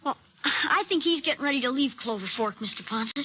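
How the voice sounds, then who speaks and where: A woman's voice: high-pitched, animated, brisk, muffled recording. A woman playing an eager, stubborn, earnest American country boy in an old-time radio western. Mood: eager, confiding. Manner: earnest, folksy country talk.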